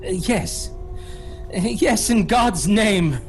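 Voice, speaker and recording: An actor playing a nervous English gentleman held captive in a horror audio drama, halting and stammering as he speaks. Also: high-pitched, lively intonation, vocal fry, exaggerated voice. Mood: pleading. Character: anxious English gentleman trapped in a vampire's castle. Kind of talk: theatrical horror audio drama narration and acting. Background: music.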